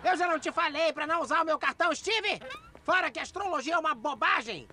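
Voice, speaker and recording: A Brazilian woman voicing a small, earnest, excitable male slice of bread in a cartoon, very expressive. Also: high voice, fast talker. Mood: exasperated. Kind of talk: cartoon comedy dialogue.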